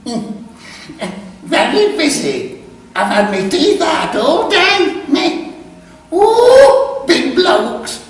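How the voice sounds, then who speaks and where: A man's voice: very high voice, very animated, extremely slow, pitched above the performer's own voice, heavily acted. A man voicing a gleeful, bawdy, gossipy old hunchbacked man with a Derbyshire accent in a live story reading. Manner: exclaiming, gossipy, boastful. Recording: echoey room, distant mic, background noise.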